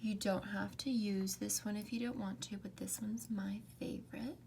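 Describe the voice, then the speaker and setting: A young woman hosting a calm ASMR painting tutorial, speaking softly and often slipping into whispers. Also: mid-range voice, gravelly, slight background noise. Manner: soft, often whispered, unhurried. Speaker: art tutorial host. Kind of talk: soft-spoken ASMR drawing tutorial.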